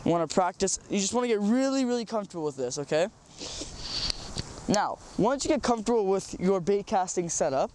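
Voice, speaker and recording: High-voiced young man, stuffy from a cold. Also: darkish tone, a little hiss or hum. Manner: rambling, repeats words, says um often. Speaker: fishing tutorial host. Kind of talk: casual how-to demonstration. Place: outdoors by a small farm pond.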